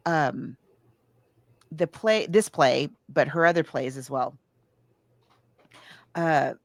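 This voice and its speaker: A woman chatting casually about books and films, animated and halting as she searches for details while recounting. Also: low-pitched, dry recording, clean studio sound. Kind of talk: casual book and film discussion.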